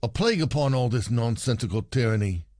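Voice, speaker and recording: An actor playing a bitter, sarcastic husband, a rich commoner full of complaint, in a theatrical comedy audio play. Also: male voice, medium pitch, lively intonation, a little fast, darkish tone, dry recording, clean studio sound, lightly acted. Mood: exasperated.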